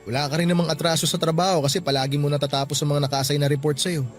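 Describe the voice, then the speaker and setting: A man acting as a protective young Filipino office guy in a radio drama. His voice sits high and moves quickly, earnest and emphatic as he looks out for his friend. Light music plays underneath. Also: flat delivery, lightly acted. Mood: concerned, gentle. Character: caring, protective young male office worker, indignant friend.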